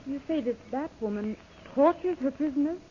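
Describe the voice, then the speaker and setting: An actress playing a worried, spirited young missionary's daughter, earnest and questioning, in a 1930s radio serial. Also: female voice, high-pitched, expressive, normal speed. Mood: anxious. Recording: tinny, noisy old radio recording.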